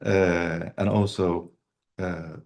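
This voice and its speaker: Low slow-speaking man lecturing haltingly. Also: little pitch movement, creaky, a little mellow. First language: not English. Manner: halting, many fillers and restarts. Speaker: university lecturer. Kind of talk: university lecture.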